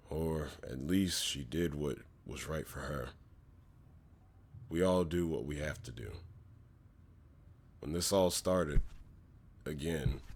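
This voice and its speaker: A man voicing a weary, guilt-burdened man in an amateur comic dub, speaking in a halting, somber way over music. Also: extremely low pitch, a little mellow, heavily acted. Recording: music behind the voice.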